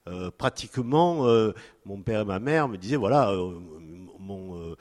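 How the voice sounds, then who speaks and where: A man in his fifties from France, speaking at a panel in a halting way with many fillers and restarts. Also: fairly low pitch, lively intonation, a little mellow. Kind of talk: hesitant spoken talk at a public panel. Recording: long hall reverb.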